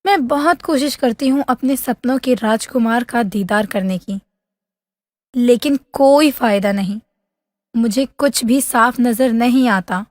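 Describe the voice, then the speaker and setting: A wistful young fairy-tale princess, played by a woman, speaks very high and slowly in long hall reverb. Her delivery is plaintive, drawn-out and dramatic, full of lovesick longing. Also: a little mellow, clean studio sound, lightly acted. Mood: wistful, troubled. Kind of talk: animated fairy-tale voice acting.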